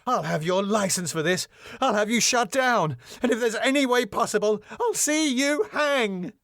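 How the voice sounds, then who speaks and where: A man's voice: a little high, sing-song, a little fast, a bit bright, dry recording, clean studio sound, voice pushed up. A man voicing a raging, officious woman counselor with an indignant, imperious manner, in a dramatic script reading. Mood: outraged.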